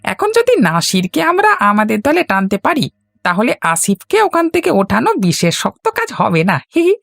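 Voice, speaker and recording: A woman's voice: medium pitch, sing-song, a little fast, gravelly, a bit bright, dry recording, clean studio sound, full character voice. A woman voicing a sly, fawning male henchman in a cartoon, wheedling and obsequious toward the boss. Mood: fawning, plotting. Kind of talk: cartoon voice acting.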